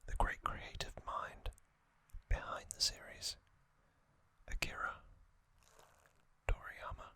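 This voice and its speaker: A host speaks entirely in a soft, unvoiced ASMR whisper, taking a slow, unhurried pace. The tone is thin and bright, with little rise and fall, in a gentle, measured storytelling manner.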